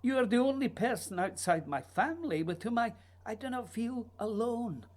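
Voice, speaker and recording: An actor playing an earnest, restless writer, needy and intense, in a theatrical, pleading radio drama style. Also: male voice, very high voice, lively intonation, normal speed, darkish tone. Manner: theatrical, pleading, literary phrasing. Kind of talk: radio play acting.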